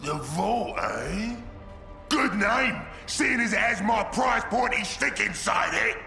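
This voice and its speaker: An actor playing a brutish, greedy ork warboss in a video game, with a gruff Cockney growl and blunt, crude delivery. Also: male voice, sits high, lively intonation, takes it slowly, sharp tone, exaggerated voice. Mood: boisterous, greedy. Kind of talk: video game character acting. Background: music.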